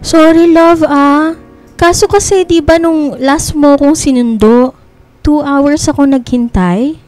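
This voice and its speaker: A woman playing a sweet, playful young woman with a Filipino accent in a radio drama, girlish and quick to protest. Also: high-pitched, darkish tone, no room sound. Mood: apologetic, defensive. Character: sweet, bantering, inexperienced young woman in love. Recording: dry studio with music bed.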